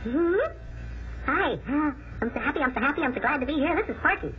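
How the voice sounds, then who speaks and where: A performer voicing an excitable, playful little boyish character on a 1950s children's radio show, gushing and exclaiming. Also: high-pitched, fairly quick, lightly acted. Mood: excited, playful. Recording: muffled, noisy 1953 radio broadcast on tape.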